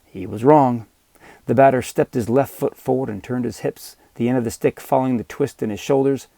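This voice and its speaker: A man narrating scripted fiction as an audiobook reader, with measured, dramatic storytelling. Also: mid-range voice, steady tone, creaky, mellow, dry recording.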